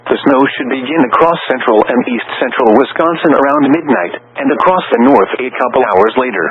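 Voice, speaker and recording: A man's voice: mid-range voice, animated, brisk, husky. A synthetic man's voice with an American accent reads scripted weather bulletins in formal, segmented phrases over the radio. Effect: radio transmission. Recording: tinny narrow-band weather radio with hum.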